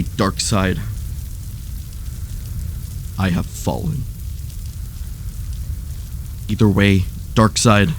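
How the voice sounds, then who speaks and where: A man acting a solemn, slow-spoken, low-voiced fallen superhero. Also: a little hiss or hum. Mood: defeated. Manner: halting, solemn, deliberate. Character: anguished, noble male superhero confessing his fall. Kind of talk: audio drama monologue, solemn storytelling.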